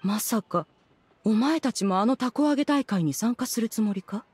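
Game role: proud immortal lady, imperious archaic diction, slightly husky, slightly high pitch, faint music behind. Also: animated, normal speed, darkish tone. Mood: proud, dignified. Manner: archaic, imperious, formal speech. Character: haughty ancient female immortal in human form. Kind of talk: video game voice acting.

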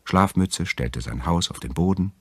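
A man with a husky voice narrating a scripted fairy tale as an audiobook storyteller. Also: sits low, animated, moderate pace, dry recording.

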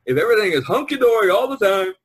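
A man's voice: high-pitched, very animated, fast, breathy edge, dry recording. An older man hosting a casual group chat among artists over an online call, speaking in a rambling way peppered with "you know". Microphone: online video conference call.

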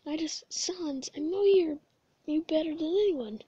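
A woman voicing an excitable, earnest young male skeleton in a comic dub, with dramatic, emphatic delivery. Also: sits high, measured pace, dry recording, dull audio. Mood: earnest, pleading.

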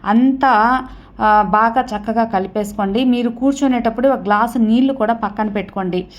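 A woman's voice: fairly high pitch, normal speed. Woman giving step-by-step instructions. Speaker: host of a devotional remedy channel. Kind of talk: explanatory talk to viewers, instructional.

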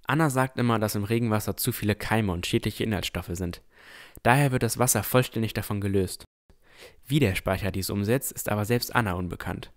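A man playing an earnest, pensive village boy of sixteen, narrating a fantasy audio drama in a reflective, deliberate way. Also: lowish voice, lightly acted. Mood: earnest, curious.

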